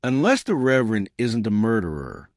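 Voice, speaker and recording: A man voicing an elderly woman detective in an audiobook mystery, quiet, measured and shrewd. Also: lowish voice, huge pitch swings, no room sound, studio quality. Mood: thoughtful.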